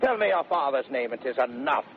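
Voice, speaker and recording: An actor playing a blustering, boastful young gentleman adventurer, declaiming in a 1930s radio Shakespeare play on a very tinny, noisy recording. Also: medium pitch, lively intonation, fairly quick, natural voice. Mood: boastful bravado. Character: swaggering, impudent young gentleman adventurer and fortune-seeker. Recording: very tinny vintage radio recording, faint noise.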